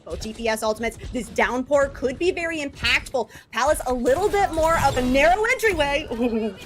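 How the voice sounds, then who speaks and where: A woman with a distinctly high voice provides analytical commentary on a live video game match. She explains things in a conversational way. Faint music sits behind her. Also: expressive, brisk. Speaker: esports commentator.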